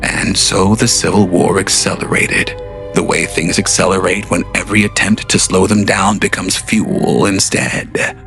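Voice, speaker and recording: List: man, drama narrator, grave, measured, gravelly, deep. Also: little pitch movement, a bit bright, soft background music. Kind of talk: scripted audio drama narration.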